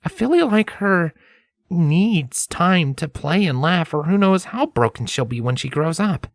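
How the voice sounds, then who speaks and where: A gentle, optimistic stallion scholar, played by a man, talks in a lifted, lively voice. He is casual and caring, reassuring with a little hesitancy, like a character in a dramatized storybook. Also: fairly high pitch, unhurried, no room sound, pitched above the performer's own voice. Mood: earnest, worried.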